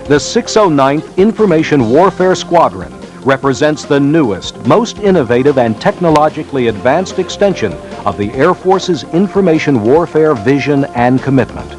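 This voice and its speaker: A man narrates a military training film in a formal, scripted documentary style, with measured, emphatic phrasing. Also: a little high, big pitch swings. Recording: 1990s training film soundtrack. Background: background music.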